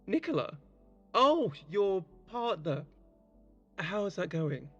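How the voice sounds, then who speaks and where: An actor playing a playful, teasing young clinic colleague with a melodramatic streak, bantering in an audio drama. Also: male voice, high-pitched, big pitch swings, takes it slowly, highs cut off, soft background music, full character voice. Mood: teasing, curious.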